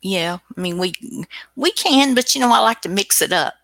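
A woman's voice: mid-range voice, expressive, normal speed, gravelly, fairly bright tone, no echo. A woman hosting a radio show in casual, playful, unscripted chat.

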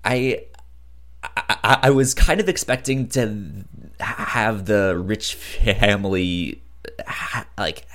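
A man with a stutter and a halting delivery, casually discussing films as a podcast co-host. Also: on the low side, fairly bright tone. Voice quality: stutter, repeats first sounds of words. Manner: halting, hesitant delivery with frequent restarts.

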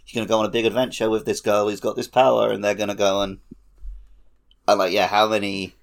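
A man chatting casually as a podcast host, telling stories in an animated, laughter-prone way. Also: fairly low pitch, quick pace, vocal fry. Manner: animated storytelling with frequent laughter. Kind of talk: casual chat.

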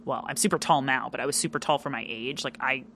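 A woman chatting casually as a podcast guest, with a loud, animated delivery full of fillers. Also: very deep voice, quick pace, creaky, slight background noise. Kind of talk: casual interview chat.

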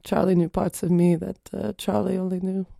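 A woman playing a gravelly Virginian backwoods man. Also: mid-range voice, dry recording, clean studio sound. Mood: earnest. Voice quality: gravelly, broken grammar. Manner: deadpan, halting. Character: odd backwoods commune man, blunt and deadpan. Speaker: comedy podcast guest character.

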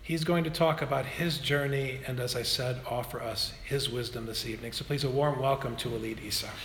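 Earnest priest in an echoey hall. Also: male voice, medium pitch, steady tone, a little fast. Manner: earnest and impassioned, with sharp pace shifts. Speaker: parish priest introducing a speaker. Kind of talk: talk introduction. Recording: echoey hall recording with faint hum.

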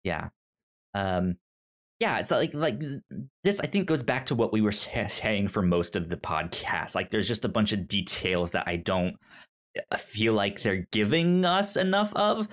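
A man co-hosting a casual podcast, talking haltingly and repeating words as he thinks out loud. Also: lowish voice, very animated. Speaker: podcast host. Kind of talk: casual chat about a manga.

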